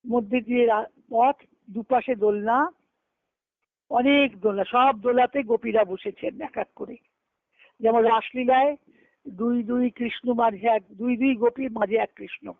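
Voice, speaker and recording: A woman's voice: on the high side, steady tone, measured pace, pauses often, dry recording. A woman gives an extempore devotional storytelling discourse on a conference call, explaining and rephrasing as she goes. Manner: explanatory, repeating and rephrasing phrases. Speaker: spiritual discourse speaker. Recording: dull lo-fi conference-call audio.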